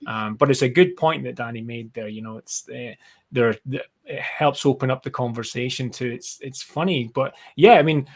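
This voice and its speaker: Scottish guy in a relaxed interview chat, explaining stuff, bit rambly. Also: mid-range voice, normal speed. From Scotland. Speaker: guest, brand strategist and designer. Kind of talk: casual live interview chat.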